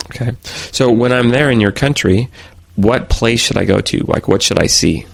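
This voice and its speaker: A man hosting an interview, asking slow, clear questions and repeating the answers back to check them. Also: medium pitch, no echo. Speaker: host interviewer.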